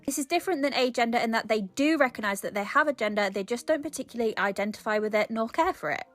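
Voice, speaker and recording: Steady informative woman. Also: fairly high pitch, fast talker, soft background music. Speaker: content creator. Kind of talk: informational explainer.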